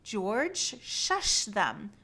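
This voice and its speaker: A woman reading a children's storybook aloud in an expressive, animated storytelling style. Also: mid-range voice, very slow. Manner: animated, acts out animal sounds and exclamations.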